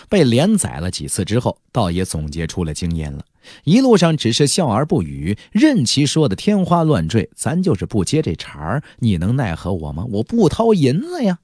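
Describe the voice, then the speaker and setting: Very animated, husky male audiobook narrator. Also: mid-range voice, no room sound, clean studio sound. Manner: playful, wry asides. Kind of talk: dramatic, colloquial storytelling narration.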